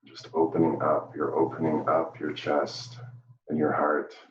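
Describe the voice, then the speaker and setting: A man leading a live guided meditation, speaking gently and soothingly with long pauses, recorded at a distance in a room with a dull sound. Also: a bass voice, level tone, a little hiss or hum. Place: indoor room, live virtual event. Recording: dull, roomy, distant microphone.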